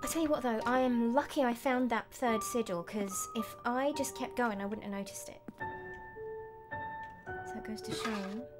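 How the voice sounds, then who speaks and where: A woman's voice: fairly high pitch, normal speed. A woman in her thirties giving casual, wry horror game commentary, muttering to herself as she plays. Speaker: game streamer. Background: game music.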